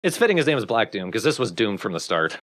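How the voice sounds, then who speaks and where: A man's voice: lowish voice, sing-song, quick pace, fairly bright tone, no echo. A man hosting a let's play, chatting casually about games in a wry, bluntly critical way. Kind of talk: casual gaming commentary with friends.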